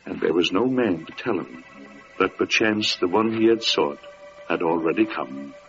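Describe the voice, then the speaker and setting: An actor playing a solemn storyteller, narrating a biblical legend in elevated, dramatic prose for an old-time radio drama. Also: male voice, low voice, full character voice. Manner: solemn, dramatic, measured literary phrasing. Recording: dull vintage radio recording.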